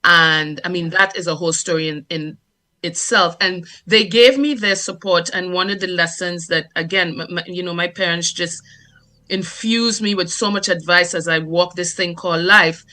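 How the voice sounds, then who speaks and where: Bright-voiced Grenadian woman, articulate storyteller. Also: lowish voice, fairly even intonation. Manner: articulate, measured, presentational delivery. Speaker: guest, dancer and performer. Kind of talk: interview storytelling.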